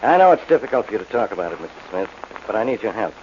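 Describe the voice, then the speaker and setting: Impatient gruff american cop, old radio drama, fast, muffled faintly noisy recording. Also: male voice, fairly low pitch, sing-song, a little mellow. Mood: stern, probing. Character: gruff, impatient police inspector questioning a witness. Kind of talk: 1940s radio acting.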